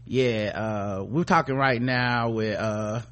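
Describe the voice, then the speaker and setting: A man's voice: medium pitch, slow pace, fairly bright tone. An American man hosting a podcast, talking informally and opinionatedly, with rambling runs and casual profanity. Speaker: podcast host.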